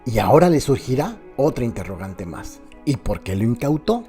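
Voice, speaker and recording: A man's voice: medium pitch, big pitch swings, normal speed, warm tone. A man with a Mexican accent narrates a crime radio serial as a dramatic scripted story, addressing listeners directly in a theatrical way. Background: background music.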